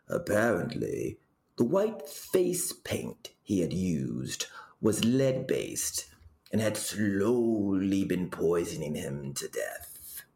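An actor playing a rambling, deadpan male storyteller telling absurd family history in a comedic audio drama, with deliberate dramatic pauses. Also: low-pitched, creaky, exaggerated voice.

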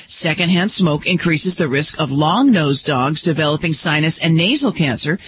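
A woman's voice: sits low, a bit bright, slight background noise. A woman reporter reading a scripted radio news report.

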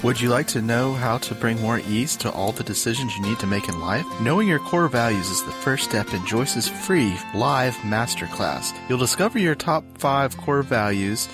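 A man's voice: mid-range voice, animated, moderate pace, few pauses. A man working as an announcer, reading a scripted promotional spot. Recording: loud music under the voice.

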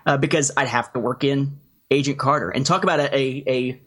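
A man hosting a podcast in casual chat, speaking in a measured, mildly wry way. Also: medium pitch, fairly even intonation, fairly bright tone. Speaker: podcast host.

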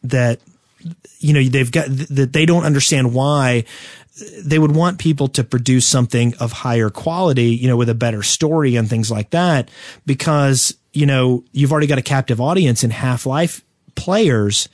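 A man co-hosting a podcast in casual chat, speaking in a halting, opinionated, conversational way into a close microphone. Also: medium pitch, darkish tone, no echo. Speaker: podcast co-host.

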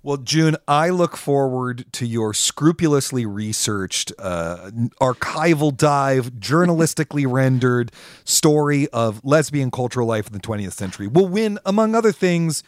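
A man's voice: medium pitch, expressive, moderate pace, no room sound, clean studio sound. A man co-hosting a podcast in a casual, chatty and emphatic conversational style. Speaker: podcast co-host.

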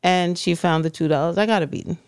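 Lady telling her story straight, kinda low, smooth clean voice, dry sound. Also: quick pace, darkish tone, no room sound. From Jamaica. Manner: blunt, frank storytelling. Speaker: guest. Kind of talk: candid interview talk.

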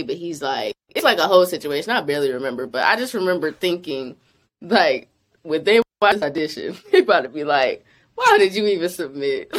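A young actress talks through her work in a loose, chatty way, laughing often and leaning on "like" as she goes. Her voice sits on the low side for a woman but swoops up and down with a lot of life. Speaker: actress guest. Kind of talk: casual interview chat.

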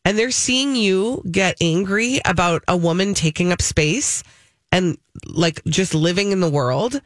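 An American woman co-hosts a radio talk show in a lowish voice. She addresses listeners head-on, conversationally, and repeats phrases for emphasis. The sound is close and dry, like a studio. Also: a little slow, no room sound. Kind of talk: casual radio chat.

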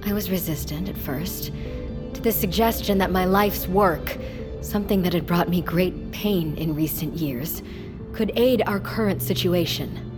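A woman playing a composed, guarded scientist addressing a group in an audio drama, formal and deliberate. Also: lowish voice, over music, close to an everyday voice. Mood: composed, solemn. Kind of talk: fiction podcast acting, speech to a group.

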